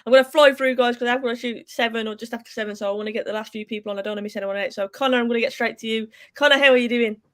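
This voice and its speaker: A woman hosting a live football call-in show, friendly and brisk with her callers. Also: fairly high pitch, steady tone, a bit bright. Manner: friendly, brisk, laughs easily. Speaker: host of a fan call-in show.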